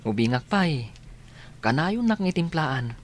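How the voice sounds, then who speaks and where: A man with an Ilocano accent playing a gentle, lonely young student in a radio drama, soft-hearted and grateful. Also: on the high side, big pitch swings, a little slow, slight background noise. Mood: affectionate.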